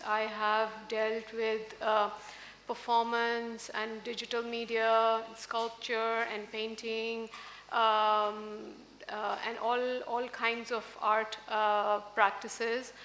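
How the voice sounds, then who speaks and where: A woman in her forties, an artist on a festival panel, speaking hesitantly with many pauses into a stand mic. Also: a little high, completely flat delivery, a bit bright, slight background noise. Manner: hesitant, many ums and uhs. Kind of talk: panel discussion. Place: large indoor festival stage with audience. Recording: roomy hall sound, faint ambience.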